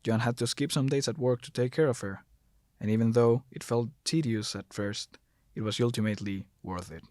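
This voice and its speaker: A man's voice: medium pitch, fairly even intonation, normal speed, a little mellow, no room sound. A man narrating a scripted horror story as the narrator, recorded close to the mic.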